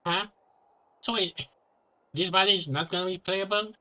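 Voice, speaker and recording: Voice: mid-range voice, fairly even intonation, normal speed, bright, forceful tone, dull audio. A game streamer giving casual, informal live reactions while playing, with muttered asides.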